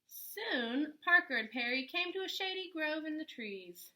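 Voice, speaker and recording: A woman reading a children's story aloud in an animated, deliberate way with dramatic emphasis. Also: sits high. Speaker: children's story reader, teacher-style host. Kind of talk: expressive storybook read-aloud for young children.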